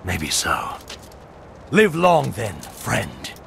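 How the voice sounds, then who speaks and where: An actor playing a fatalistic, devoted soldier, grave and fervent, in a video game cutscene. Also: male voice, on the low side, huge pitch swings, extremely slow, vocal fry, fairly bright tone, slight background noise, exaggerated voice. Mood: grave resignation. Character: fatalistic, devoted male soldier ready to die for glory.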